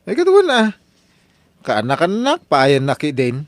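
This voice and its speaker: A man's voice: a little high, wildly swooping pitch, unhurried, no echo. A man playing an earnest jobless young laborer. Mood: hopeful. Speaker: lead character. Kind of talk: radio drama acting.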